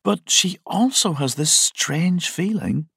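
A man's voice: sits high, animated, slow, vocal fry, a bit bright, husky, dry recording, studio quality. A man reading scripted documentary narration as the narrator of a music programme. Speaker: podcast narrator.

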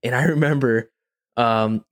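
Man, podcast co-host, casual storyteller, rambling and informal, medium pitch, dry sound. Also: measured pace, dry recording. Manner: informal, rambling, heavy use of "like" and "you know".

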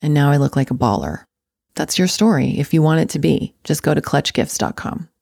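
A woman's voice: sits low, expressive, a little fast, creaky, a little mellow, no echo. A woman hosting an interview podcast, effusive and gushing over her guest in casual chat. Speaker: podcast host.

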